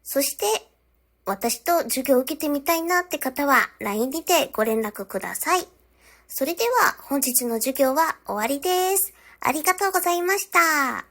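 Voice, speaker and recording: Female language instructor, notably high-pitched, polite and instructional, speaking directly to learners. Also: expressive, moderate pace, fairly bright tone. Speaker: Japanese teacher. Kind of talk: scripted language lesson to camera.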